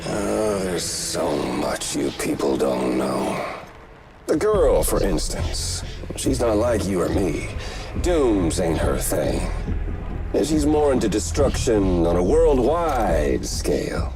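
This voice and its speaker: Gloating male villain, low voice, slow taunting drawl, theatrical, menacing, video game cutscene. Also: big pitch swings, noisy background, heavily acted. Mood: smug menace.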